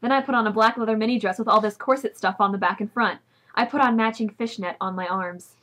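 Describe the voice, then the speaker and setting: A woman's voice: a little high, quick pace, a bit bright. A young woman reading amateur fiction aloud as comedy, playful and mock-serious. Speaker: amateur reader in a comedy video.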